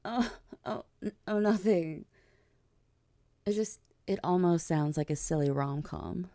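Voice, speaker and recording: Woman acting as composed, mellow vampire queen. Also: on the low side, a little slow, dry recording, clean studio sound. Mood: amused, teasing. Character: regal, teasing vampire queen, composed older-cousin type. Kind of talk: ASMR audio roleplay acting.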